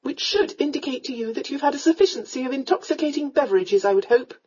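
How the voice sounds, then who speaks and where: A woman's voice: high-pitched, animated, fast talker, darkish tone, breathy edge, highs cut off, pitched above the performer's own voice. A woman voicing a prim, indignant young Regency lady in an audiobook, speaking formally, precisely and with a flustered edge. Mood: disapproving.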